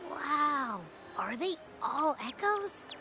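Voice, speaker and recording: A cheeky little game creature, with a high voice that swings freely up and down. She is blunt, informal and always a bit hungry, and music plays behind her. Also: big pitch swings, very slow, full character voice. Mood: impressed, cheeky. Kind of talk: video game voice acting. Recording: game dialogue over clear background music.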